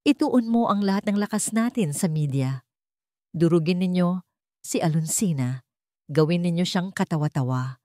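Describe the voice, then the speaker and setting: A woman's voice: on the low side, lively intonation, normal speed, long pauses, warm tone, no room sound, clean studio sound, lightly acted. Woman acting a cold, scheming male villain. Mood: firm, ruthless. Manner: cold, controlled, commanding. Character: calm, dangerous, scheming male villain businessman.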